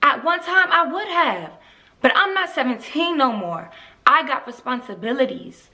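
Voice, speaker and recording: Woman acting an exasperated young mother, high, bright. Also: expressive, full character voice. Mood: indignant. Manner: indignant, rapid-fire questioning. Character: exasperated young mother scolding her partner. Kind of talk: theatrical stage monologue.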